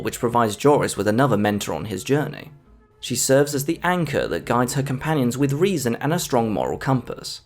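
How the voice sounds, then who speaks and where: Lively man narrating a video essay over music. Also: mid-range voice. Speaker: video essayist reviewing a film. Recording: music under the voice. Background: background music.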